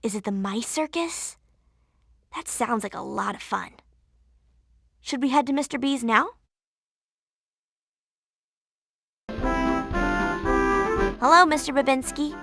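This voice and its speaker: An actress playing a spunky, curious, sarcastic young girl in a video game, perky and expressive. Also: high-pitched, moderate pace, gravelly, long pauses, no echo, studio quality, soft background music, heavily acted. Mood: eager. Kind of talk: video game character acting.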